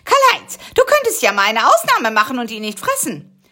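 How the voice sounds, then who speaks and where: A woman doing Busy, a squeaky excited critter. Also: normal speed, exaggerated voice. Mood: teasing. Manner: teasing, excited. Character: eager cheeky little male critter, spider's bold friend.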